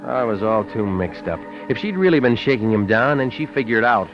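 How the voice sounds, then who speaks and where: An actor playing a wisecracking private detective, deadpan and clipped, narrating his case in a vintage radio noir drama. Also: lowish voice, a little fast, soft background music. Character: wisecracking, hard-boiled male private detective. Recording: dull vintage radio recording.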